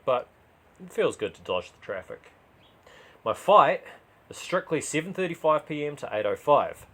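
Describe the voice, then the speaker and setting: Low-voiced New man reading fiction. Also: a bit bright. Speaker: author reading own crime story. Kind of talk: dramatised first-person story narration.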